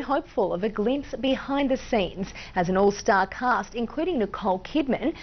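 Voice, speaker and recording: A woman's voice: fairly high pitch, lo-fi sound. An Australian woman working as a news anchor, reading scripted bulletin copy.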